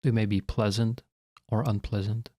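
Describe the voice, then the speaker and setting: A man leading a guided meditation as a guide, speaking in a calm, measured, instructional way with long pauses. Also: on the low side, no room sound, clean studio sound.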